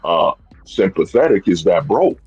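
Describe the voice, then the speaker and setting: A man's voice: low voice, big pitch swings, unhurried, darkish tone, husky, lo-fi sound, faint music behind. A man in his thirties hosting a livestream, talking in a rambling, casual rant and dropping "bro" and "you know what I'm saying" often. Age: 30s. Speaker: streamer host.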